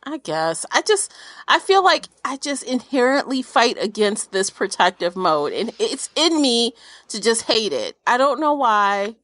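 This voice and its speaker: A woman's voice: a little high, very animated, a little slow, fairly bright tone, no room sound. A woman discussing a book casually as a podcast co-host, blunt and opinionated, with informal language.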